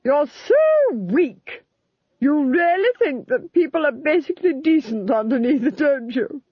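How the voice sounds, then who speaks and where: A woman's voice: big pitch swings, unhurried, dry recording, muffled recording. A woman reading an audiobook, voicing a cruel, gloating duchess who rants at her captives. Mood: exultant. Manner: shrill, ranting, exultant.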